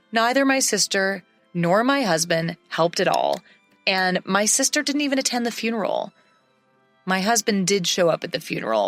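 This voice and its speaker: A woman reading a first-person story as narrator in a steady scripted telling, close to the mic. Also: on the low side, gravelly.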